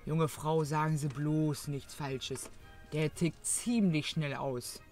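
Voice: medium pitch, fairly even intonation, slow pace, darkish tone, faint music behind, close to an everyday voice. A performer playing a coarse, jaded working-class mother, grumbling bluntly in a parody audio drama. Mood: wary, blunt.

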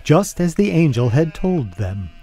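A man narrating a scripted dramatic story in old radio style, earnest and deliberate. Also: a little high, big pitch swings, mellow.

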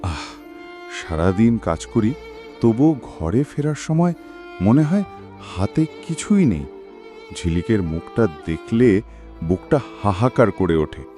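A man's voice: fairly low pitch, sing-song, slow pace, long pauses, mellow, faint music behind. A man voicing a poor, weary village labourer and father who sighs over his troubles in a cartoon. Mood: despairing. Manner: sighing, despairing.

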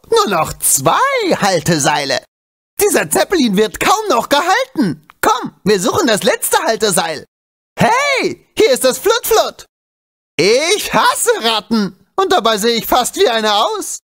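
A small, wisecracking animal sidekick from a video game. The voice sits high and jumps around with very animated intonation. The delivery is excitable, cheeky and urgent, full of exclamations. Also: male voice, heavily acted. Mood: alarmed, excited.

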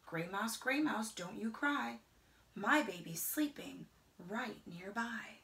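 A middle-aged woman gives an expressive children's read-aloud in a roomy indoor space. Her delivery is slow and rhythmic, with wide swings in pitch. She often softens into a hushed whisper. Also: creaky, slight background noise. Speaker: storyteller reading a picture book aloud.

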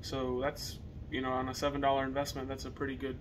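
A young man with an American accent chatting casually to camera as a reseller, with halting phrasing. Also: medium pitch, completely flat delivery. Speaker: reseller co-hosting a video channel. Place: partially finished basement, indoors. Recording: noisy basement room recording.

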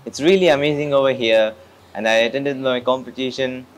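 A man's voice: mid-range voice, fairly even intonation, fairly quick. A young man from Saudi Arabia giving a casual testimonial as a competition participant. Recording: clear background noise and ambience.

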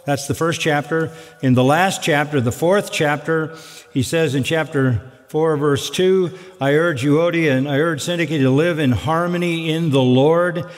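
An older male pastor preaching from a pulpit, measured and earnest with dry asides. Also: a little high. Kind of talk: expository sermon. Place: large church sanctuary at a wooden pulpit. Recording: long reverb of a large sanctuary.

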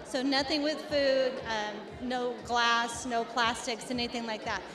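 A woman's voice: on the high side, steady tone, measured pace, over music. A middle-aged woman representing a company, explaining her work earnestly into a handheld mic at an indoor expo. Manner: earnest, explanatory, many restarts. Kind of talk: expo interview. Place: indoor expo hall before a backdrop. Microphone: handheld mic held by the interviewer. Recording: echoey hall with crowd noise.

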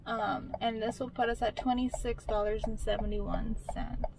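A woman's voice: fairly high pitch, flat delivery, moderate pace, background noise. Chatty young woman vlogging in a car. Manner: chatty, talks to viewers, brightens when greeting staff. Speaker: delivery driver vlogger. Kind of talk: casual vlog chat. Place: inside a car. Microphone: camera on the dashboard. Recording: music playing in a car.

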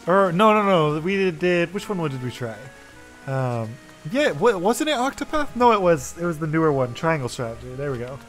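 Young guy gaming with a buddy, bright animated voice, music under it. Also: a little high, moderate pace. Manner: laughs a lot, reads game lines aloud. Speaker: gameplay co-host. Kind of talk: casual gaming chat.

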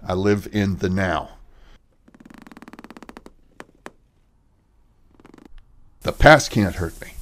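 A man playing a gentle, anxious recovering addict in an audio horror drama, halting and earnest. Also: low-pitched. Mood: anxious chanting.

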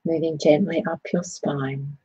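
A woman leading a guided body scan meditation, speaking in a soothing, gentle way with unhurried phrasing. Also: low voice, little pitch movement, slightly rough, no room sound, muffled recording.

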